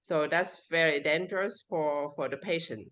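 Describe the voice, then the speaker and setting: A woman with a Vietnamese accent speaking English as a second language, answering interview questions haltingly and searching for words. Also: low-pitched, fairly bright tone. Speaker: interview guest. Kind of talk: casual interview.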